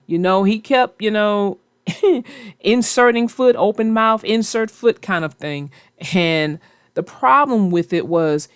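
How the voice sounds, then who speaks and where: A woman reading a children's storybook aloud in a slow, expressive way, then chatting casually about it. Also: mid-range voice, no room sound, clean studio sound. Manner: slow, expressive read-aloud with character voices. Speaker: storybook reader and co-host.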